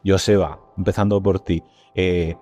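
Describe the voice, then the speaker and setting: A man's voice: deep voice, flat delivery, measured pace, mellow, a little hiss or hum. A man with a Spain accent hosting a podcast in a casual, informal interview chat. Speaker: podcast host.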